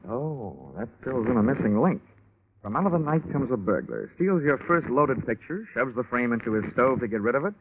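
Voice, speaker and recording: An actor playing a tough, wisecracking American insurance investigator with a wry, deadpan, hard-boiled delivery in 1940s radio style. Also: male voice, medium pitch, moderate pace, breathy edge. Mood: hard-boiled, confident. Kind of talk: 1940s radio detective acting and narration. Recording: tinny band-limited 1940s radio recording.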